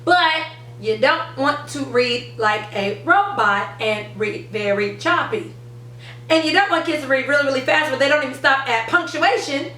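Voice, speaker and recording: High-voiced woman teaching, roomy sound. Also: moderate pace, sharp tone. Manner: clear step-by-step explaining, stressing key words. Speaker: reading teacher and channel host. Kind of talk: instructional how-to presentation. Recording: roomy, distant mic, faint noise.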